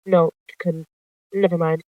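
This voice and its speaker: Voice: medium pitch, little pitch movement, a little slow, dry recording, close to an everyday voice. A narrator voicing an anxious, secretive female cat clan leader in a roleplay story, hesitant and guarded. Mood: uneasy. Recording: dull lo-fi close dry recording.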